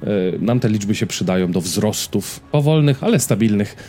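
Mellow man chatting on a podcast. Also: on the low side, lively intonation, moderate pace, faint music behind. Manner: informal, joking, anecdotal. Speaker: podcast co-host. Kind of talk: casual podcast chat.

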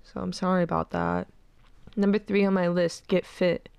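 Young woman, twenties, stuffed-up nose, rambling casual host, gravelly fry, smooth clear tone. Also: fairly low pitch, flat delivery, normal speed, a little mellow, dry recording. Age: 20s. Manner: rambling, peppered with "like". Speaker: podcast host. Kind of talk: casual chat.

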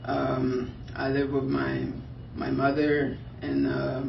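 Hesitant young man, gravelly and far off. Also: medium pitch, completely flat delivery, takes it slowly. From Liberia. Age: young adult. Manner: hesitant, filler-heavy, soft-spoken. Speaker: professional soccer player. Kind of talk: press interview answers. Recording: roomy, distant, muffled, light noise.

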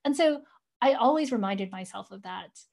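A woman's voice: a little high, animated, moderate pace, gravelly, a little mellow. A woman in her forties, reflecting earnestly on growing up, often saying "you know" as a guest in an interview. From United States. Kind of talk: reflective personal storytelling.

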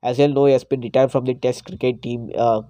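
Male podcast host, hoarse with a cold, mellow dark tone, dry close sound. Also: medium pitch, fairly even intonation, quick pace, no echo. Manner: hesitant, frequent "uh" fillers. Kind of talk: casual solo cricket podcast.

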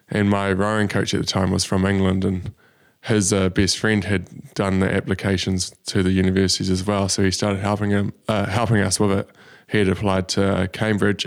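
A young man, a business founder telling his story in a relaxed way, with many ums. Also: deep voice, creaky. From New Zealand. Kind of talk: casual interview. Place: studio.

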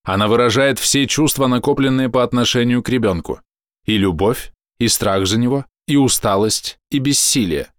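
A man reading a scripted explanatory voice-over in measured, deliberate short phrases, close to the microphone. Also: mid-range voice, dry recording. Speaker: narrator.

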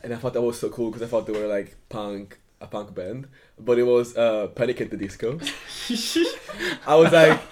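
A man's voice: medium pitch, normal speed, a little hiss or hum. A young man from Milan chatting on a student podcast, joking and mocking himself with frequent laughs. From Milan, Italy. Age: young adult. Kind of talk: casual podcast chat.

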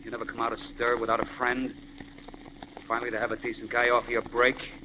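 Man playing a touchy, forceful American ex-convict. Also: mid-range voice, completely flat delivery, fast, natural voice. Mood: earnest, bitter. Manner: blunt, slangy, defensive. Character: touchy, earnest male ex-convict, rough-spoken and slangy. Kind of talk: 1940s radio drama acting. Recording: noisy old radio broadcast recording.

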